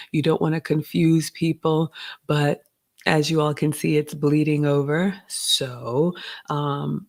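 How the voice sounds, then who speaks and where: A woman's voice: sits low, a little mellow. Chatty woman hosting a live Q&A. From Ghana. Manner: chatty, reads viewer comments aloud, uses "y'all" and "you all". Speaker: host. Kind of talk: casual live Q&A chat.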